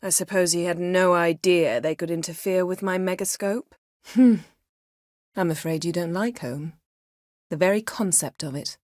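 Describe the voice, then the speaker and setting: Haughty, sardonic sorceress played by a woman, low-voiced. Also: a little mellow, no echo, natural voice. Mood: dry, composed. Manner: haughty, dry, measured, formal diction. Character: proud, sardonic, commanding sorceress. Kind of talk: video game voice acting.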